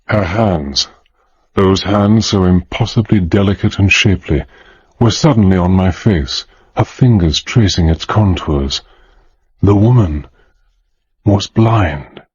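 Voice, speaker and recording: An actor playing a courteous, earnest British gentleman hero who narrates and acts in a radio thriller. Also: sits low, takes it slowly, husky, dull audio, exaggerated voice. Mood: awed narration. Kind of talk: radio drama acting and narration.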